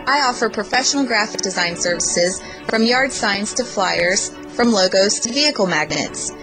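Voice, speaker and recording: A woman reads a promo in a clear, steady voice of middling pitch. Music plays clearly under her throughout. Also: moderate pace, low-quality recording. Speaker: ad reader. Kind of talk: read promo. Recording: music bed behind.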